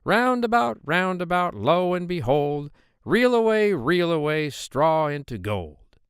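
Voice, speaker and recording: A man voicing a droll, sly little dwarf in a storybook, with a high, lilting, wheedling voice. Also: big pitch swings, takes it slowly, smooth, dry recording, clean studio sound, exaggerated voice. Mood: merry chanting. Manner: sing-song, wheedling, theatrical. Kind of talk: storybook character voice.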